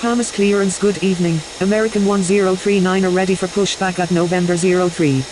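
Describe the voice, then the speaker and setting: A woman's voice: fairly low pitch, quick pace, natural voice, rough recording. A woman playing a businesslike airline pilot in a game, making clipped, scripted radio calls. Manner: clipped, formulaic phraseology. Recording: hissy recording over steady engine noise.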